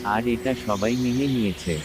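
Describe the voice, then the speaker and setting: A man's voice: mid-range voice, normal speed, heavy background noise. A man narrating a scripted animal story, with music behind him.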